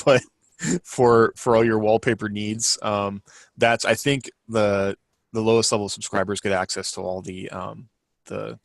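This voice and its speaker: A man's voice: on the low side, dry recording. A man co-hosting a podcast, running through announcements in a casual, chatty way. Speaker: podcast co-host.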